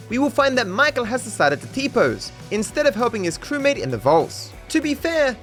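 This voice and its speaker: A man's voice: very high-pitched, a bit bright. A man delivering scripted, comedic game commentary with a sardonic, mock-dramatic manner. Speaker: video host and narrator. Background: background music.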